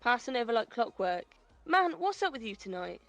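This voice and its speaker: A woman's voice: a little high, big pitch swings, moderate pace, a bit bright, soft background music. A British woman playing a blunt, streetwise, reassuring club crew member in an audio drama. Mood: reassuring, impatient.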